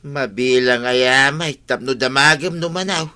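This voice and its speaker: A man's voice: mid-range voice, measured pace, sharp tone, clear, resonant tone. A man with an Ilocano accent playing a grumbling, lonesome village husband in a radio comedy. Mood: needling. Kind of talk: radio comedy drama acting.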